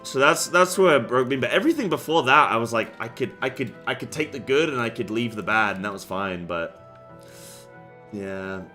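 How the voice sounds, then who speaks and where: A young man talks to his stream chat in a bright, forward voice, rambling through his thoughts with lots of "like" and "um." He gets worked up when he rants. A faint bit of music sits under his voice. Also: medium pitch, animated, normal speed. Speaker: game streamer. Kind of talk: casual live-stream chat.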